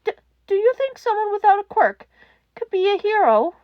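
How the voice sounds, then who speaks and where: A woman voicing a timid, despairing young boy in an audiobook, halting and stammering with a soft, cracking voice. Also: extremely high pitch, sing-song, dry recording, highs cut off, pitched above the performer's own voice. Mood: timid, tearful. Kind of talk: audiobook character acting.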